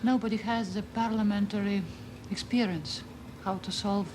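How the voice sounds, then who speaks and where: A woman doctor giving a documentary interview, speaking slowly and haltingly in a second language. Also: mid-range voice, fairly even intonation. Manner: slow, halting, searching for words in a second language. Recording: noisy, roomy old documentary film sound.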